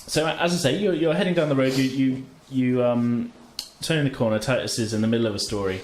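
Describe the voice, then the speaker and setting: A man runs a tabletop game as its game master. He narrates casually and a little hesitantly, in a mid-pitched voice. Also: brisk, a little mellow, slight background noise, poor audio. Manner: informal, hesitant, chuckling asides.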